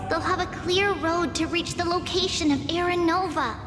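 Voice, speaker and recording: A woman's voice: very high voice, steady tone, normal speed. A woman playing an earnest, resolute little demigod maiden in a video game, speaking with careful determination. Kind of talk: dramatic video game voice acting. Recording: echoey long reverb under music.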